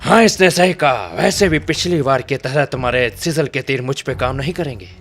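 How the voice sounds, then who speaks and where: A man's voice: mid-range voice, a little fast, a bit bright, close to an everyday voice. An actor voicing an arrogant, cruel male god in a dubbed game cutscene, taunting and threatening his foe.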